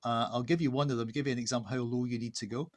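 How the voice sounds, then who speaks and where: Man, medium pitch, informal co-host, hesitant, rambling, off the cuff, video call. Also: steady tone, rapid-fire. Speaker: co-host, art director. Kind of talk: casual unscripted event hosting.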